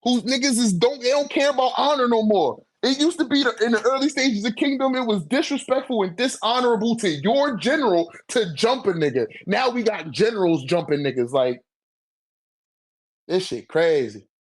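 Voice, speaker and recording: A man chatting casually in an animated, slangy manner with heavy swearing, as a guest in a friendly debate. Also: very high voice, normal speed. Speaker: guest debating a manga tier list. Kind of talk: casual chat.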